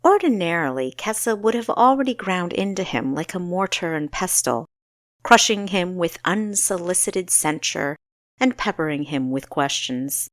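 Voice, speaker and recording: A woman narrating a fantasy story in an expressive, dramatic storytelling style with deliberate emphasis. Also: on the low side, dry recording, studio quality. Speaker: audiobook narrator.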